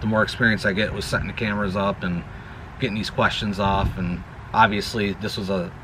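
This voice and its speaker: A man's voice: lowish voice, steady tone, brisk, noisy background. A man giving a casual, rambling channel update outdoors, often correcting himself mid-sentence. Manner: rambling, self-correcting, chatty. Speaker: YouTube vlogger. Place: outdoors near a woodpile. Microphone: action camera.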